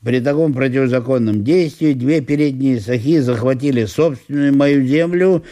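An actor playing a pompous, indignant provincial landowner in a solemn audio play, speaking in florid officialese. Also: male voice, medium pitch, moderate pace, dark tone, close to an everyday voice. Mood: aggrieved, indignant. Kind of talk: theatrical audio-play monologue.

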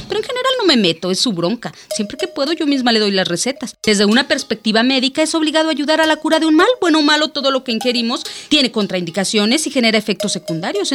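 A woman's voice: high voice, a bit bright. A woman playing a self-justifying doctor hooked on coffee in a radio drama monologue, talkative and clinical. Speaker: actor in a radio play. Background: music.

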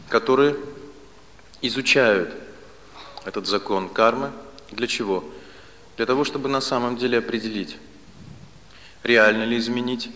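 Deep-voiced man lecturing in a reverberant hall. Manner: deliberate, didactic, short phrases with pauses and repetition. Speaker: spiritual teacher and philosophy lecturer. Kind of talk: lecture on Vedic philosophy to a live audience. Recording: reverberant hall, loud steady background noise.

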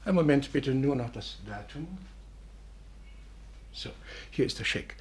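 An actor playing a grandiose, courteous but imperious gentleman with formal, pompous speech in a 1950s German radio drama. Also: mid-range voice, animated, unhurried, slightly rough. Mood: gracious. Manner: formal, pompous, hesitant asides. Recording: noisy old 1950s radio recording.

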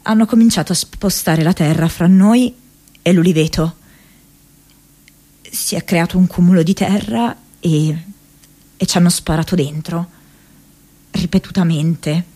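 Halting, low-pitched young activist woman. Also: warm tone. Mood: tense recounting. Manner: halting, hesitant, with pauses and repeated words. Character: young American woman activist recounting her diary, earnest, haunted. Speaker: actress in a radio theatre monologue. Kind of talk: intimate radio drama monologue, diary read as spoken memories.

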